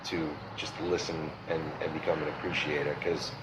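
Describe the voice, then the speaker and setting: A man with a Boston accent, a record store owner chatting in a relaxed, thoughtful way as the guest of an interview. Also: rumbling low, moderate pace, noisy background. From Massachusetts, USA. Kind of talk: casual interview chat.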